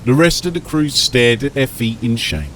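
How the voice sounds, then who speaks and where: A man reads dramatic audiobook narration at a medium pitch. A faint rumble of noise sits behind his voice. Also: sing-song, moderate pace, fairly bright tone.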